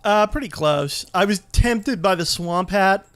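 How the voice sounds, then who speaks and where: A man's voice: high-pitched, a little slow, fairly bright tone, dry recording. A man giving casual gameplay commentary as a let's play host, easygoing and a bit hesitant as he explains.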